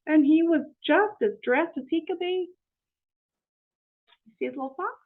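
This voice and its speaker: A woman reading a picture book aloud to children, expressive and explanatory, pausing to ask questions. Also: sits high. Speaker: children's story reader. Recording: muffled narrow phone-like audio.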